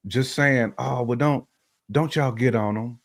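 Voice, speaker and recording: A man's voice: mid-range voice, unhurried, vocal fry, no room sound, clean studio sound. A man co-hosting a talk show, speaking casually and colloquially about his opinions and repeating phrases for emphasis.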